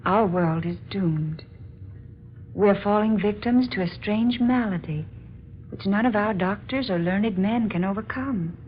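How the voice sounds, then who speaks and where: A woman's voice: medium pitch, unhurried, lightly acted. A woman playing a gracious, earnest young princess in a radio drama, speaking with formal, courtly diction. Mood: grave, pleading. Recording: muffled, noisy old-time radio recording.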